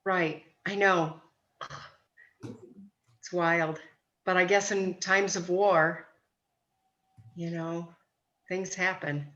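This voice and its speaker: A woman's voice: fairly low pitch, fairly even intonation, very slow, long pauses, a bit bright. A woman chatting casually with family on a video call, explaining things in a tentative, informal way. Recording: muffled video call audio.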